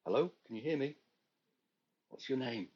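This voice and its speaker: A man voicing a brisk hospital doctor who asks calm, matter-of-fact questions. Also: medium pitch, vocal fry, husky, highs cut off, voice pushed up. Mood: clinical.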